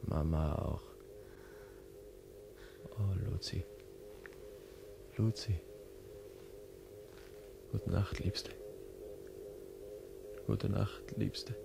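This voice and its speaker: A dying man, hushed and gravelly. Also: fairly low pitch, drawn-out words, long pauses, exaggerated voice. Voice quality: weary, fading, tender. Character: young man dying, exhausted and hollowed out. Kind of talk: 1950s radio play acting. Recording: hissy 1951 radio drama recording.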